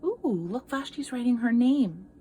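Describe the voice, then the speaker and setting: A woman art teacher reading a picture book aloud to children, expressively, pausing for asides and questions to young listeners. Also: on the high side, animated, dark tone, slight background noise.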